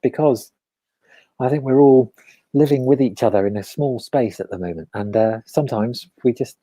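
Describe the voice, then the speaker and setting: A man with a British accent, telling a nature story aloud to listeners on a video call in a gentle storyteller's manner. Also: medium pitch, normal speed, slightly rough, no room sound. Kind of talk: oral storytelling.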